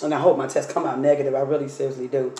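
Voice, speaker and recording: A woman with a slightly hoarse voice chats easily to her followers as she opens her food and eats. She sits at a dining table at home, recorded on a phone propped in front of her. The room gives her voice a noticeable echo, with a faint hiss underneath. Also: rumbling low, level tone, very fast, mellow. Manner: chatty, talks while unpacking and eating food. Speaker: vlogger. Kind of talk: casual mukbang storytime chat. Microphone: phone camera on the table. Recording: echoey room with faint noise.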